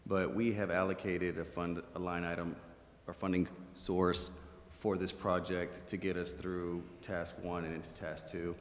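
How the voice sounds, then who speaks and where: A man's voice: low voice, level tone, a little slow. A man giving a formal explanation as a staff member at a public meeting. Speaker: city staff member reporting to council. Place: indoor city council chamber. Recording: echoey council chamber with faint noise.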